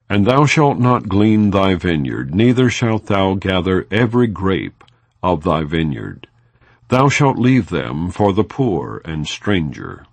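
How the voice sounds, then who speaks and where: Low voiced guy reading bible audiobook, slow and solemn, formal, really dry close recording. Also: no room sound. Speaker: audiobook narrator. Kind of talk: scripted scripture reading, non-dramatized.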